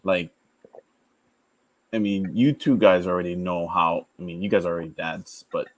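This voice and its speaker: A man in his thirties from Hawaii, co-hosting a casual podcast, hesitant with many pauses. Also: sits low, fairly even intonation, no echo. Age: 30s. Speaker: podcast co-host.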